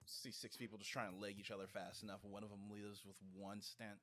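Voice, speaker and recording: A man's voice: lowish voice, little pitch movement, a little fast, fairly bright tone. A man commentating an esports match as casual banter, in a wry, joking, slangy way. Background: background music.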